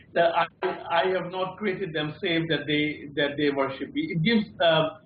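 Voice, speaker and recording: A panellist on a call-in discussion show, a man speaking slowly and haltingly with many fillers. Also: a little high, steady tone, soft background music. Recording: tinny echoey phone-like line.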